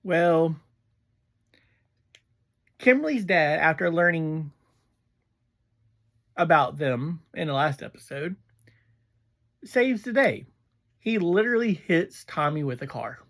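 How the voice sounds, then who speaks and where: A casual reviewer talking through an episode in a halting way, often pausing mid-sentence. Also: mid-range voice, lively intonation, a bit bright. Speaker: reviewer and host of a review series.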